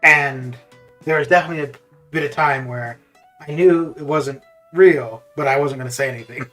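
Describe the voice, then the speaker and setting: A live streamer of middling pitch, chatting casually and often amused at their own remarks. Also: moderate pace, fairly bright tone. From Canada, born in the Caribbean. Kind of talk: casual chat while streaming. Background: music with guitar.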